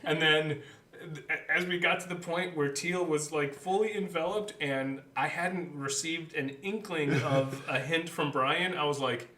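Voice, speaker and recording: A man co-hosting a casual behind-the-scenes chat, telling stories haltingly and often restarting his sentences. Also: a little high, pushed and bright, a little hiss or hum. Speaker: podcast co-host. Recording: echoey room sound.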